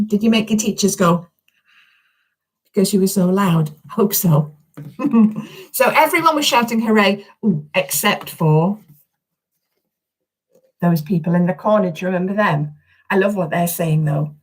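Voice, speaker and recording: A woman's voice: medium pitch, measured pace, long pauses, slightly rough, rough recording. A woman reading a children's story aloud as its author, chatting expressively and speaking directly to her young listeners.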